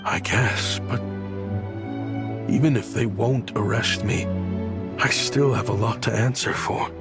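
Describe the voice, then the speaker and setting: A man playing a weary, remorseful protector of children in a video game, speaking in a halting, earnest way. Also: on the low side, gravelly, over loud music. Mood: guilty, humbled. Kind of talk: dramatic video game voice acting.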